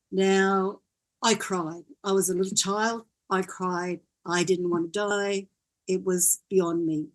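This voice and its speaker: Older woman, from Wales, low-ish voice, gentle, reflective, interview guest, storytelling. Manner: gentle, reflective, laughs often.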